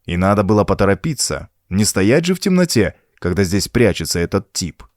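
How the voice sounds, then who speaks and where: A man narrates a suspenseful horror story in a fairly low voice. His intonation swings widely and expressively, and the recording is dry and close, with almost no room sound. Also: sing-song, brisk, no room sound. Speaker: audiobook narrator.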